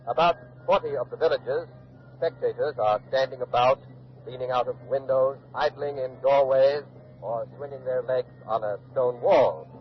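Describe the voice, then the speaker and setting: A man's voice: mid-range voice, normal speed, natural voice. A man acting an earnest on-the-scene reporter in a 1940s radio docudrama, describing events in an articulate eyewitness style. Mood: measured, observant. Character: earnest male radio field reporter at a colonial standoff. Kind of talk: 1940s radio docudrama live news commentary. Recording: dull old radio recording with hiss.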